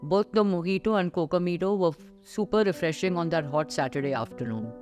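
A middle-aged woman with an Indian English accent giving scripted voice-over narration for a restaurant visit, measured and descriptive, with clear enthusiasm for the food. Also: on the low side. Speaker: food vlogger host. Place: voice-over recorded separately from the restaurant scenes. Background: background music under the narration.

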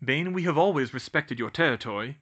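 Man as a bright-voiced, casual young prankster. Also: mid-range voice, expressive, a little fast, breathy edge, highs cut off. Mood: placating. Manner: casual, placating, cheeky. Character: jaunty, confident young prankster twin brothers. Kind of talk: audiobook character voice.

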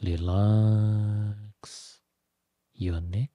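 A man leading a guided meditation as a teacher, giving gentle instructions in short phrases with long pauses. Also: lowish voice, steady tone, no room sound. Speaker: Buddhist monk, meditation teacher.

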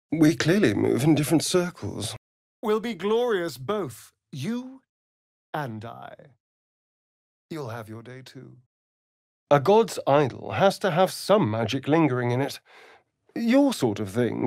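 A vain male vampire from a fantasy video game. His voice is breathy and husky, swooping dramatically between arch flourishes and murmured asides. He drawls his words at an unhurried pace, flirtatious and sardonic throughout. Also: on the high side, darkish tone, dry recording, clean studio sound, exaggerated voice. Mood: seductive, teasing, then wry.

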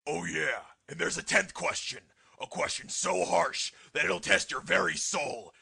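A man playing a menacing, sarcastic exam proctor in a comic anime parody, with a raspy, barking, theatrical delivery. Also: a little high, a little slow, bright, forceful tone, exaggerated voice. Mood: gloating, menacing. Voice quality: gravelly, raspy. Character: menacing scarred male exam proctor, loud drill-sergeant bully.

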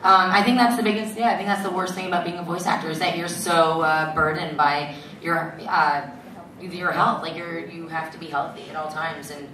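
A woman voice actress with a gravelly voice, chatting on a convention panel. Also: sits low, fairly even intonation, normal speed, sharp tone. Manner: chatty, laughing often. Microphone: handheld mic, far camera. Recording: echoey hall, distant camera, crowd noise.

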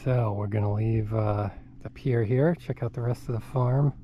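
A man's voice: fairly low pitch, fairly even intonation, moderate pace, highs cut off, a little hiss or hum. A middle-aged man casually narrating a hike outdoors, chatty and easygoing, reading signs aloud as he walks. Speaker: hiking vlogger. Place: outdoors on a farm by a bay.

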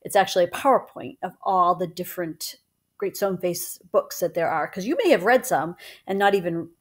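A woman's voice: lowish voice, normal speed. A woman reads a children's book aloud in a conversational way, as a teacher would. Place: small room at home.

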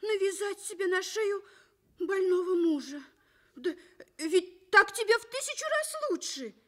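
An actress playing a worried, blunt young woman, a concerned friend, in a measured Soviet radio drama style. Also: very high-pitched, expressive, bright, forceful tone, full character voice. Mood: reproachful.

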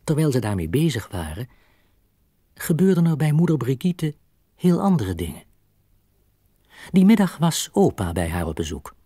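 An actor as the narrator of a children's radio play, a man telling the story in a husky voice. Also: mid-range voice, very animated, moderate pace, long pauses, dark tone, no echo, clean studio sound. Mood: storytelling.

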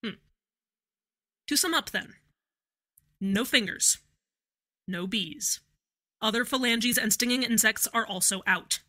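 A woman's voice: a little high, slow pace, long pauses, bright, forceful tone, heavily acted. A woman voicing a prim female android who reads a formal, exacting announcement over the radio, mildly condescending. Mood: precise. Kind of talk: fan audio drama acting.

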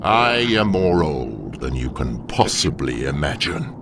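An ancient, inhuman demon speaks from a cavernously low register with a gravelly creak. The delivery is slow, solemn and condemning, in formal audio drama style. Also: male voice, noisy background, full character voice.

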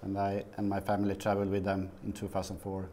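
A Swedish man in his fifties, a guest in a small TV studio interview, talking haltingly with many fillers. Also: low voice, monotone, mellow, a little hiss or hum. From Sweden. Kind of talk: casual interview.

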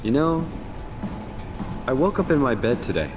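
A man's voice: medium pitch, sing-song, normal speed, over music, close to an everyday voice. An actor playing a weary, wry everyman stranded in an undersea facility, in a subdued game performance. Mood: musing, wry. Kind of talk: video game voice acting. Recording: echoey, noisy machinery ambience.

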